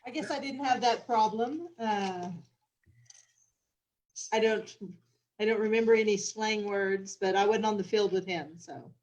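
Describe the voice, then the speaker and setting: A woman's voice: mid-range voice, a little slow, long pauses, darkish tone, low-quality recording. A woman chatting casually as an interview guest, hesitant with many restarts. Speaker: visiting queen, interview guest. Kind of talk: casual chat.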